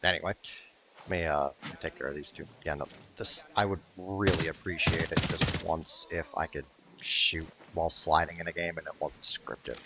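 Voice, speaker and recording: A man's voice: very deep voice, fairly even intonation, moderate pace, background noise. A man rambling through casual gameplay commentary, swearing and sounding wryly exasperated as he streams. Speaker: video game streamer.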